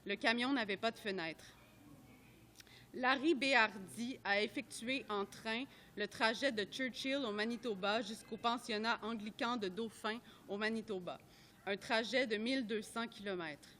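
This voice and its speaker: Bright-voiced woman reading aloud. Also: a little high, slight background noise. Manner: measured, careful reading that stumbles over names. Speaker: reader at a public reading. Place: art gallery at a live event.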